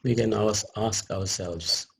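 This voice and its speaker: A man with a low, husky voice guides a meditation. He speaks very slowly and deliberately, setting out short phrases with pauses, as a teacher instructing a group would.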